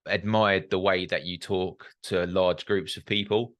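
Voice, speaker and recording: A man's voice: low-pitched, steady tone, unhurried, fairly bright tone, no echo, dull audio. A young man hosting a podcast interview, chatty and hesitant, full of restarts and tag questions. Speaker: podcast host. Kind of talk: casual interview chat.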